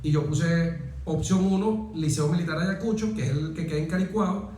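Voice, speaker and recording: A man from Venezuela with a Venezuelan accent, telling personal stories as an interview guest, phrasing deliberately and adding little tag questions. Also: fairly high pitch, darkish tone, a little hiss or hum. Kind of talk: reflective personal storytelling in an interview. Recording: very echoey, reverberant room.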